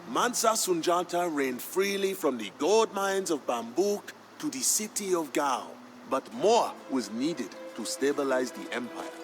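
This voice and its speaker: An actor playing a proud royal griot, narrating a strategy game's campaign story in a grand, measured and emphatic manner. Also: male voice, medium pitch. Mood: triumphant, then grave, resolute. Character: proud male griot, a king's humble family historian, solemn storyteller. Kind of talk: dramatic scripted narration for a strategy game campaign. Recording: music behind the voice. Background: background music.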